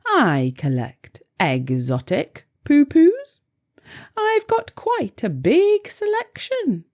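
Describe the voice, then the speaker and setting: A woman voicing an eager, boastful gentleman collector in a children's storybook, with theatrical, gushing delivery. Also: high-pitched, heavily acted. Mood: showy, welcoming. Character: eager, showy gentleman collector of exotic poo.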